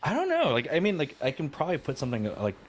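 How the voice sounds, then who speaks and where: A man's voice: on the high side, huge pitch swings, very fast. Man rambling through a casual chat. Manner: rambling storyteller, laughs often, stumbles and restarts. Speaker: podcast co-host.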